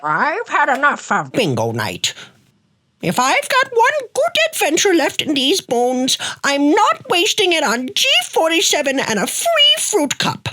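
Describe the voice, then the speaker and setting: A woman's voice: high voice, huge pitch swings, a little slow, sharp tone, exaggerated voice. A woman voicing a feisty, stubborn grandma in her eighties in an emphatic, dramatic radio play style. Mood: feisty, defiant. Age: older. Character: feisty, stubborn 81-year-old grandma, sharp as a tack. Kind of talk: hobby radio play acting.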